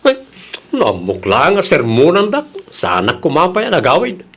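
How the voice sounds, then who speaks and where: A man acts a high-pitched young Filipino slacker, the cheeky little brother of an audio drama. He is flippant and a bit whiny when he talks back. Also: wildly swooping pitch, moderate pace, creaky, fairly bright tone, heavily acted. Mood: flippant, carefree. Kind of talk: dramatised audio story acting.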